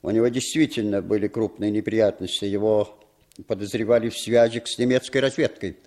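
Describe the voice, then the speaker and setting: An actor playing an urbane, commanding, cynical intelligence chief in a 1960s Soviet radio drama, with deliberate, slyly ironic delivery. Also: male voice, on the low side, fairly even intonation, darkish tone, lightly acted. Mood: businesslike briefing.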